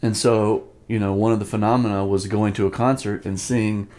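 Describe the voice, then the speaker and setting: Man, fifties, fairly low pitch, informal lesson style, personal reminiscing, emphatic repetition. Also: flat delivery, moderate pace, darkish tone. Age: 50s. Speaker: drum teacher, host.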